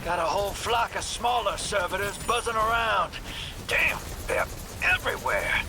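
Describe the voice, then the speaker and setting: A man's voice: high voice, normal speed, noisy background. An actor playing a wry, streetwise male companion in a video game, talking in a clipped, folksy way. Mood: alert. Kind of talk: video game dialogue acting.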